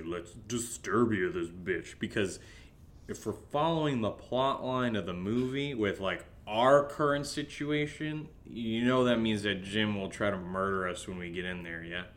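A man plays a blasé, hungover housemate in an audio drama. His voice sits fairly low, and he rambles hesitantly with fatalistic, offhand humor. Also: fairly bright tone, slight background noise. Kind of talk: naturalistic audio drama dialogue.

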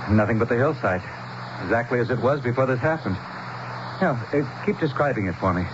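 A man acting as a cautious, loyal town mayor in a 1970s radio drama. He speaks formally and sincerely, in measured phrases. The recording is dull and noticeably noisy. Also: mid-range voice, expressive, close to an everyday voice. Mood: curious, cautious. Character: earnest, loyal small-town male mayor, cautious and supportive.

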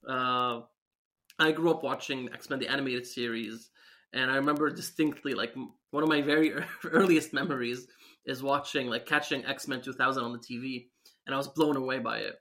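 Bright-voiced young man from Syria. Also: mid-range voice, steady tone, normal speed. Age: 20s. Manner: eager, talks with a light laugh. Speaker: guest comic creator. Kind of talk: casual interview chat.

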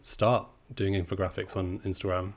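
A man's voice: low-pitched, little pitch movement, moderate pace. A man co-hosting a podcast, asking his guest friendly, curious questions and peppering them with "like". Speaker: podcast co-host. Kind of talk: casual interview chat.

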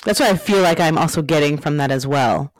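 A podcast host interviewing a guest, measured and affirming, asking reflective questions. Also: medium pitch, no echo.

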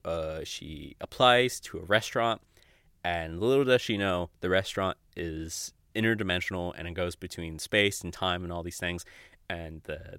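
A young adult man hosting a podcast solo, chatting casually and off the cuff in a giggly, rambling, sweary way with halting false starts. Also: low-pitched, a bit bright, no room sound, studio quality. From Mexico or of Mexican family. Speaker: podcast host. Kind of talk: casual unscripted podcast monologue.

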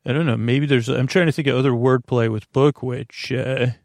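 A man with a mid-pitched, noticeably creaky voice talks as a bedtime podcast host. He wanders from thought to thought, halting and doubling back on himself in a whimsical ramble. The sound is close and dry. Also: steady tone, darkish tone, no room sound. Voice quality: gravelly, creaky. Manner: meandering, halting, self-interrupting, whimsical.

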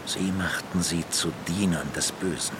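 An actor playing a grave, cryptic ancient magician in a fantasy audio drama. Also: male voice, sits low, steady tone, moderate pace, background noise, lightly acted. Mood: solemn, urgent.

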